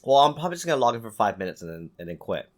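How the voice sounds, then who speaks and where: A man's voice: mid-range voice, sing-song, very fast. A man co-hosting a podcast, talking casually about video games. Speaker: podcast co-host.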